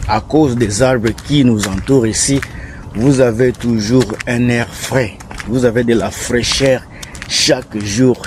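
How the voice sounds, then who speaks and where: A man with an African French accent talks straight to his viewers from outdoors, his voice mid-pitched and unhurried. He is earnest and keeps repeating his points. Faint street and traffic sounds sit behind him. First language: French not his first language. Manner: earnest, repetitive, advising. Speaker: vlogger. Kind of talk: casual vlog talking to viewers.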